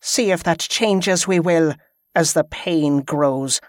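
A man's voice: on the high side, takes it slowly, no room sound, studio quality. A man voicing a stern, ruthless woman military commander who talks in cold, clipped threats.

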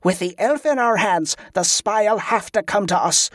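A man voicing a stern, high-pitched female commander. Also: lively intonation, a little slow, a bit bright, no echo, studio quality, full character voice. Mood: commanding, urgent. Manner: clipped commands in inverted dialect phrasing. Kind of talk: fantasy audiobook character voice.

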